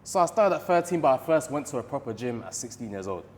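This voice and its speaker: Fast-talking young East London man. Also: mid-range voice, big pitch swings, darkish tone, long reverb tail. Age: 20s. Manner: laid-back, chatty, peppers speech with "you know what I mean". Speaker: podcast guest, boxer. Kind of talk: casual chat. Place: boxing gym.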